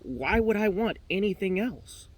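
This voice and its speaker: A man's voice: medium pitch, expressive, unhurried, breathy edge. A man voicing a timid, sheltered female pet canary in a storybook tale, stammering, flustered and devout. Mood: indignant.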